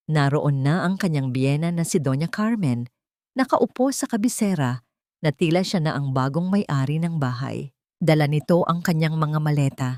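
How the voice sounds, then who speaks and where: A woman's voice: lowish voice, darkish tone, no echo, clean studio sound. A woman reading a scripted, dramatic love story as narrator.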